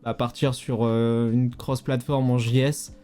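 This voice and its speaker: A man with a mellow, warm tone and an ordinary mid-range pitch talks through his work in a relaxed, informal way, pausing often on fillers. Faint music sits quietly underneath. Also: smooth. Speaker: native mobile app developer, guest. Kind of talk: casual interview chat.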